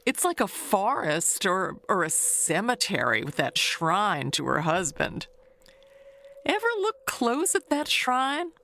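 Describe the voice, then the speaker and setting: A woman's voice: mid-range voice, big pitch swings, slow, pushed and bright, full character voice. A woman playing a chatty, gossipy neighbor in an audio drama, reminiscing in a confiding, rambling way. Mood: confiding, wistful. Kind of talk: audio drama monologue, conversational storytelling.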